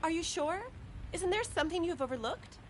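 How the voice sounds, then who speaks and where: Game role: female actor as loyal, chiding assistant, brisk manner, high voice, noisy backdrop. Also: expressive. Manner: prim, chiding, encouraging. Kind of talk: video game character acting.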